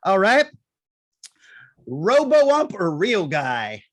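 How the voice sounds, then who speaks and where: High, lively man teasing his guest. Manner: playful, teasing quick-fire questions. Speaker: show host. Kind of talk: casual interview chat.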